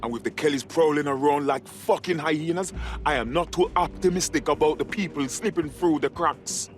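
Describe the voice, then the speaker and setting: A man playing a weary but helpful inside informant, low-key, in a video game. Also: normal speed, soft background music, close to an everyday voice. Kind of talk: game cutscene dialogue.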